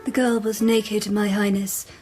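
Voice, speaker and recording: An actress playing a highborn, sceptical royal lady in a radio drama, formal and pointed. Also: level tone, measured pace, darkish tone, soft background music, natural voice. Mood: sceptical, disapproving.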